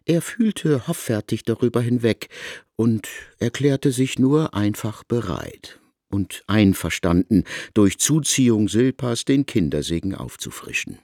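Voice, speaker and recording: Expressive male literary narrator. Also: fairly low pitch, unhurried, no echo, clean studio sound. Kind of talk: literary radio reading.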